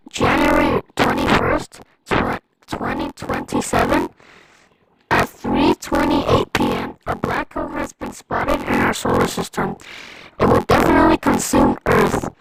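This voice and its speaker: Monstrously deep, distorted alert announcer. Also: female voice, creaky, full character voice. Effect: voice pitched drastically down. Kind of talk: emergency broadcast announcement.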